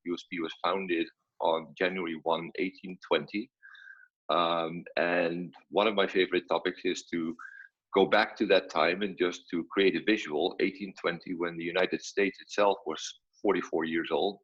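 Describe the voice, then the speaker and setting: Deep husky Dutch-accented man. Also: a bit bright. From Netherlands. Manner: measured, frequent fillers. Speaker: guest scientist. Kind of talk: video-call interview. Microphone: video call. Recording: dull lo-fi video call audio.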